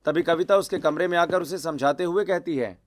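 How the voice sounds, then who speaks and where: A man's voice: on the high side, fairly quick, faint music behind. A man narrating a scripted cartoon family story.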